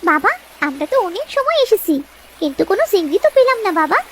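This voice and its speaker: A woman voicing an anxious, excitable young village girl in a cartoon. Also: very high voice, quick pace, exaggerated voice. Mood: worried. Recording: noisy track with ambience behind.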